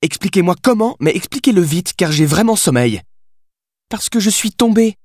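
A man's voice: sits high, big pitch swings, normal speed, darkish tone, dry recording, studio quality, pitched above the performer's own voice. A man voicing a brash, sassy, foul-mouthed young woman in an audiobook, with a cheeky, insistent way of talking. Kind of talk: audiobook character dialogue.

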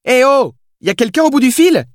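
A man's voice: sits high, expressive, fairly quick, darkish tone, no echo, studio quality, pitched above the performer's own voice. A man voicing a brash, sassy, foul-mouthed young woman in an audiobook, with a cheeky, insistent way of talking. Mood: cheeky, hailing. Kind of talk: audiobook character dialogue.

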